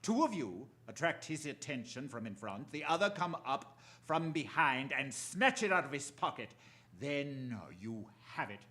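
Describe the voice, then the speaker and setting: An actor playing a commanding, menacing crime boss, imperious and deliberate in a theatrical radio drama style. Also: male voice, mid-range voice, big pitch swings, pushed and bright.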